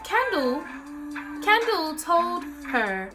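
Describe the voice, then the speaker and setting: A young American woman telling a story casually to viewers, animated and slangy, acting out the dialogue as she goes. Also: extremely high pitch, extremely slow, music in the background, low-quality recording. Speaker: storytime vlogger.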